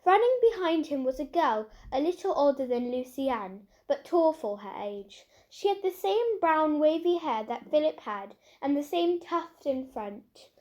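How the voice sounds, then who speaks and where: A woman narrates a children's storybook. Her voice is high-pitched and her reading is expressive, like an audiobook. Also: unhurried, few pauses. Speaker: storybook reader.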